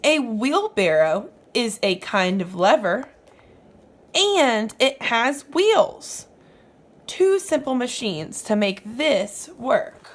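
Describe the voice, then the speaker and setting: A woman's voice: on the high side, big pitch swings, slow pace. A woman reading aloud to young children as a teacher would, explaining as she goes and putting questions to the listener. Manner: instructive, asks the listener questions.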